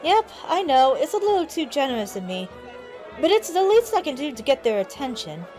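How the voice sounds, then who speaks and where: A woman playing a kindly, plain-spoken pony barmaid in an audio drama, folksy and gently protective. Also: sits high, very animated, brisk, faint music behind, some chatter behind, low-quality recording. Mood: firm, caring.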